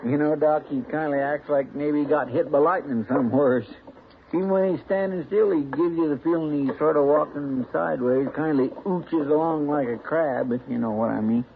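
A folksy, gravelly frontier deputy. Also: male voice, a little high, moderate pace, slight background noise. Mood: rambling. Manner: drawling, rambling, country talk. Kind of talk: 1950s radio drama acting. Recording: tinny old radio broadcast.

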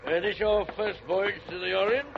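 An actor playing a jovial, blustering old gentleman swindler in a 1940s radio drama, with hearty theatrical delivery. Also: steady tone, moderate pace, creaky. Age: older. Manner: hearty, theatrical, hemming and correcting himself. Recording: muffled old radio recording, rumbling noise.

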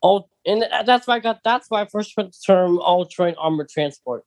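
A podcast co-host with a medium-pitched voice talks in a casual, conversational way. The sound is dry, with hardly any room to it. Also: a little fast, no echo. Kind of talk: casual chat about films and books.

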